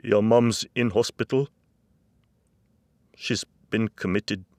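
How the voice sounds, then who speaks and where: A man voicing a distraught older father in an audiobook, breaking grave family news in an upset, emphatic, halting way. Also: fairly low pitch, steady tone, a little mellow, no echo, voice pushed up. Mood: distressed, emphatic. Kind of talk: audiobook character dialogue.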